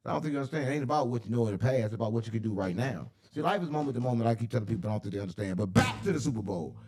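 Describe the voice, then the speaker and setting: An American man talks very quickly in a mid-pitched voice, in casual, heated talk-show banter. He is emphatic and argumentative, repeats himself for stress and uses plenty of slang. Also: lively intonation, darkish tone. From St. Louis, American Midwest.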